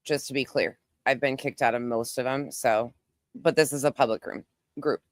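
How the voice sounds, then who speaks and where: Give a low-pitched woman's voice recorded close and dry. She speaks as an online creator doing off-the-cuff live commentary, repeating phrases for emphasis and narrating her own steps as she goes. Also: steady tone, normal speed, dry recording. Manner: narrates own actions while browsing. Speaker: YouTube creator, host. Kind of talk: casual live commentary, screen share.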